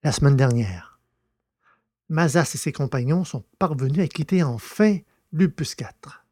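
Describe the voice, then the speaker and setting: A man's voice: a little high, lively intonation, measured pace, a little mellow. A man hosting a podcast and narrating audiobooks in a scripted presenting style.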